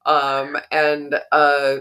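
A middle-aged woman guest, an author and drama therapist, telling stories in a casual interview in a chatty, animated way and often laughing mid-sentence. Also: deep voice, drawn-out words, clear, resonant tone.

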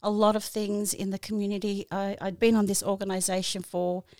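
A woman's voice: mid-range voice, level tone, fairly quick, darkish tone, no room sound, studio quality. A woman in her forties with an Australian accent, a podcast guest talking about her volunteer work, with many fillers and restarts. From New Zealand. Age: 40s. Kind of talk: casual interview answers.

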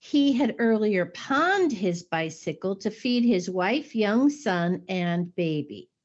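A woman co-hosting a casual chat, telling stories in a measured way that sighs and trails off. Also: mid-range voice, very animated. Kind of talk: casual chat and film recap. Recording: dull lo-fi sound.

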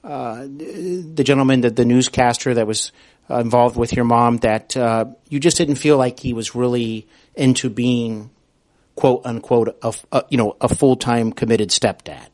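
A man with a mid-pitched voice acts as a podcast interviewer. He talks conversationally and hesitantly, often restarting a phrase before he gets to the end of it. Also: a little mellow. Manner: hesitant, halting phrasing with repeated starts.

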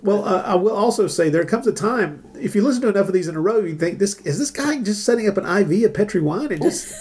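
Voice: mid-range voice, lively intonation, fast, darkish tone. A middle-aged podcast host chatting casually and enthusiastically about old radio dramas.

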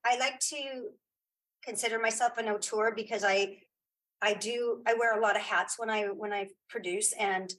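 Woman in halting, casual interview chat. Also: medium pitch, steady tone, fairly bright tone, poor audio. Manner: halting, repeats words and restarts often. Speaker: guest, filmmaker and writer.

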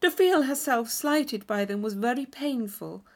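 A woman's voice: fairly high pitch, expressive, a little slow. A woman reading a classic novel aloud as scripted audiobook narration.

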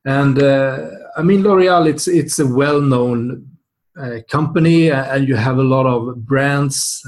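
A man's voice: medium pitch, unhurried. A man hosting an interview, asking questions with frequent uhs and restarts. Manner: hesitant questioning with many uhs. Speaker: interview host.

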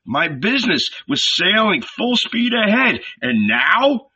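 A man's voice: high voice, sing-song, slow pace, sharp tone, husky, voice pushed up, full character voice. A man voicing a hesitant, big-bodied male cobbler, reluctant and bewildered. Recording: dull lo-fi recording.

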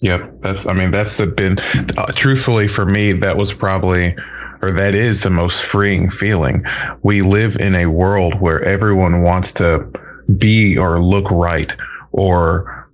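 A man's voice: sits low, fairly even intonation, a little slow. A man co-hosting a podcast, talking in a laid-back, plainspoken way. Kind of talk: casual conversation.

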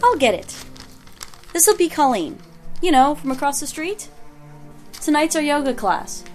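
Fussy grown daughter, high voice, fond chiding, naturalistic radio drama, light music behind. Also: female voice, sing-song, unhurried, a little mellow. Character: fussy, affectionate grown daughter, young librarian. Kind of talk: naturalistic audio drama acting.

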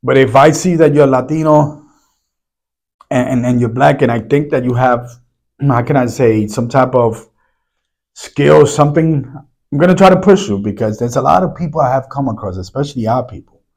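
A hoarse man who is losing his voice hosts a solo podcast, rambling loosely and clearing his throat often. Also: medium pitch, moderate pace, a little mellow. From New York City. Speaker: podcast host.

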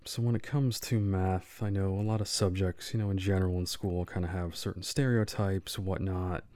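A man's voice: low voice, fairly even intonation, normal speed, dark tone, no room sound. A man hosting a podcast interview, friendly and upbeat as he asks his guest questions. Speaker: podcast host.

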